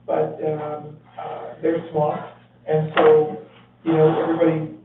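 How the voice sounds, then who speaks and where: Male council member with a high voice and a supportive manner, heard from afar in a roomy chamber. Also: monotone, unhurried, music in the background. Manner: reassuring, supportive. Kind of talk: meeting discussion. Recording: tinny, echoey hall audio from a distant mic.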